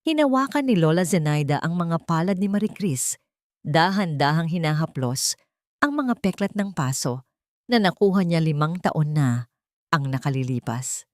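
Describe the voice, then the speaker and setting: A woman storyteller reading a scripted romantic drama in a solemn, measured, dramatic way. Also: deep voice, expressive, no echo, studio quality. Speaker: storyteller, narrator.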